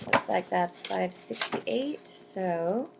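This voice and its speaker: A young adult woman talks casually to her viewers in an easy, rambling way, full of fillers and side comments. Her voice sits at an ordinary middle pitch for a woman. When she reads verse aloud, she slows to a careful, deliberate pace. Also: lively intonation, creaky, noisy background. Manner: chatty and rambling, with frequent ums and asides; reads poetry slowly and deliberately. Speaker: vlogger answering viewer questions. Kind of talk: casual chat to camera.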